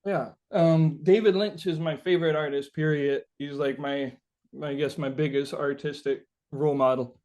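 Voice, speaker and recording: Higher-voiced man, halting and thoughtful. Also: fairly even intonation, darkish tone. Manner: halting, thinking aloud, frequent "like". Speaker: interviewed musician. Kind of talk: casual interview answers.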